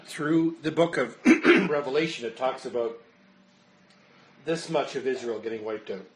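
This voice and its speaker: A man, a pastor, leading a Bible study and patiently explaining as he answers questions. His voice sits at a middle pitch and is wearing thin from use. A faint noise hums behind him. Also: moderate pace. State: losing his voice, coughing.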